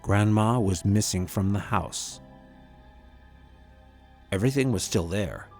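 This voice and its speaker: A man narrating a scripted horror story in the first person as a haunted grandson, with measured, dramatic delivery and deliberate pauses. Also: lowish voice, creaky, a little mellow. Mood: regretful, haunted. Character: haunted grown grandson recounting a frightening visit. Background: music.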